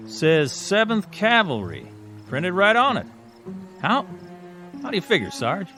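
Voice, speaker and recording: Wisecracking, swooping-voiced soldier. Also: male voice, high voice, wildly swooping pitch, measured pace, pushed and bright, soft background music, full character voice. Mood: curious, skeptical. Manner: sarcastic, slangy. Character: cynical, wisecracking army corporal, a restless skeptic. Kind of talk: radio drama acting.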